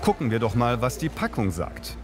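Lively male documentary narrator. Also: medium pitch, moderate pace, over music. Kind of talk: scripted narration.

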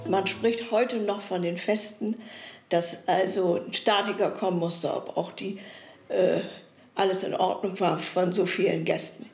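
A woman's voice: lowish voice, steady tone, unhurried, a little mellow, faint music behind. Woman telling family history in an echoey room. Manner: storytelling, with hesitations. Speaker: descendant of the pharmacy family. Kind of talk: interview, recounting family history.

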